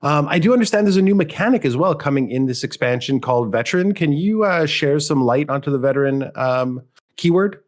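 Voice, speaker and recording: A man hosting a game podcast, slightly hoarse from a cold, upbeat and friendly as he asks questions. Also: a little high, lively intonation, brisk. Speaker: podcast host. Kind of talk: casual podcast chat.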